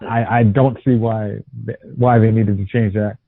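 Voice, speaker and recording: A man chatting casually as a podcast co-host, rambling and halting, often restarting his sentences. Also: fairly low pitch, level tone, dry recording. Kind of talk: casual chat. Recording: dry, tinny phone-like audio.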